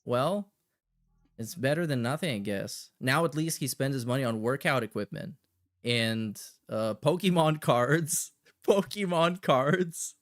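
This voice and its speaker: A man's voice: mid-range voice, animated, a little slow, fairly bright tone, no echo, clean studio sound. A man hosting a livestream with casual, slangy, sweary commentary and a sarcastic edge. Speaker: livestream host. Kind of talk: casual chat with shout-outs and commentary.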